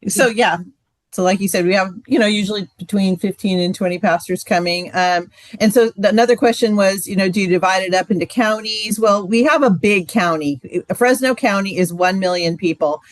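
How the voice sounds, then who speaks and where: A woman's voice: mid-range voice, a little fast, no echo. A woman in her sixties, a marriage ministry director, casually answering questions on a video call. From Central California. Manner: casual, relaxed, filler-heavy.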